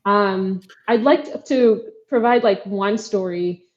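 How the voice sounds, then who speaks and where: A woman's voice: medium pitch, slow, darkish tone, highs cut off. A young woman with a Kenyan accent, a startup co-founder earnestly answering interview questions with frequent ums and likes.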